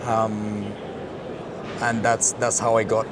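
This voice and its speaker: A man's voice: fairly low pitch, completely flat delivery, slow pace. A man with a Colombian accent, a Spanish speaker, telling his career story in a casual interview, with many fillers and restarts. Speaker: interview guest, marketing director. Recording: street noise behind.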